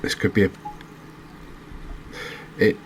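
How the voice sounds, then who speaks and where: A man giving gentle, unhurried ASMR commentary as he plays a game, pausing and hesitating as he goes. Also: slight background noise. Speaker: host of a gaming channel. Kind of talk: ASMR let's-play commentary.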